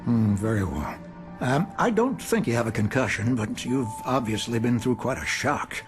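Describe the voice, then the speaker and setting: A male actor plays a mild physician in a video game. He sounds clinical and a bit hesitant, with a varied pitch. Music plays clearly behind him. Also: expressive, normal speed, lightly acted. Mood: concerned. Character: attentive male doctor examining a patient, measured and mild.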